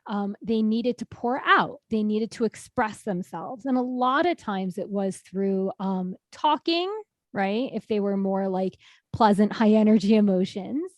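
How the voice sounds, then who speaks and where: A woman in her thirties co-hosting a podcast in casual chat, animated and quick to laugh. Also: mid-range voice, dry recording. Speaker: podcast co-host.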